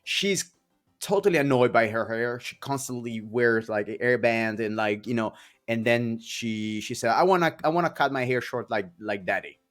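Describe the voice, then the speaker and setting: A man in his thirties hosting a podcast in casual chat, speaking haltingly with repeated words and non-native phrasing. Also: mid-range voice, fairly bright tone, faint music behind. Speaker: podcast host.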